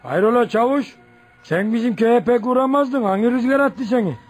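High-voiced, inquisitive village headman. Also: a little fast, music in the background. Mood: curious. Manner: questioning, full of folksy interjections. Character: gossipy, inquisitive village headman, sociable and credulous. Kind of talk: radio drama acting.

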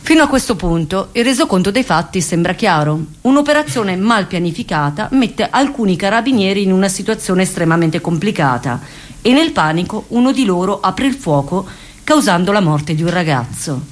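Woman, medium pitch, actor narrator, scripted radio theatre, dramatic account. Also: expressive, normal speed.

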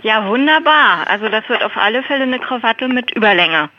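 A woman's voice: a little high, animated, brisk. A playful, good-humoured woman taking a business call on the telephone. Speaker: company employee answering phone. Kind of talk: unscripted business phone call. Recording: dull telephone line.

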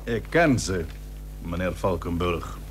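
A man playing an old, proud and plain-spoken Dutch admiral in a historical radio drama, formal, measured and firm. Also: low voice, very animated, faint music behind, heavily acted. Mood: measured, sceptical. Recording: noisy old radio recording with hum.

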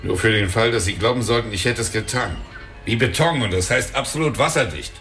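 A bitter, unkempt father in a radio drama, sneering at everyone around him. His lowish voice stays cynical and defensive, with faint music underneath. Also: brisk, sharp tone.

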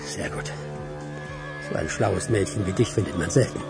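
An actor playing a manipulative, coaxing male film director in a radio crime drama, with a creaky voice. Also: low voice, normal speed. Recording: clear music behind the dialogue.

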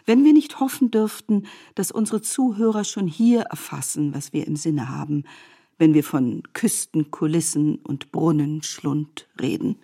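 Female narrator-commentator, lowish pitch, deliberate explanatory delivery for literary radio drama. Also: mellow. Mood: learned, deliberate.

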